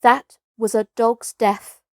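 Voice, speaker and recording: A woman's voice: fairly high pitch, steady tone, takes it slowly, a little mellow, dry recording, studio quality. A woman voicing a bold, commanding young jungle boy in a storybook reading. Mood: resolute. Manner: urgent, exclaiming commands.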